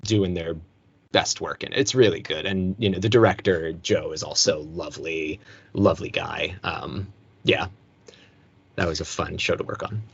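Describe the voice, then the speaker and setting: Relaxed man chatting, a bit muffled. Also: low voice, moderate pace, creaky, husky. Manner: relaxed, hesitant, many fillers. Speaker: voice actor guest. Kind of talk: casual interview chat. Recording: dull lo-fi sound, dry.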